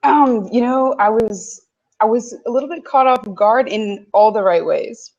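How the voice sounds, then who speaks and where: A woman's voice: fairly high pitch, unhurried, lo-fi sound. A young woman from Canada whose first language is French, a guest analyst talking football in a casual interview. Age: young adult. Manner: analytical, lively football talk.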